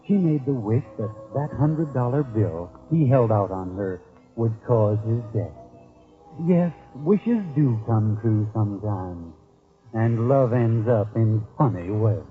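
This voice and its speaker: An actor playing a mysterious, knowing storyteller who addresses the listener with irony in 1940s radio mystery narration. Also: male voice, lowish voice, lively intonation, slow pace. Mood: knowing, ironic. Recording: muffled old radio recording, music behind. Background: music.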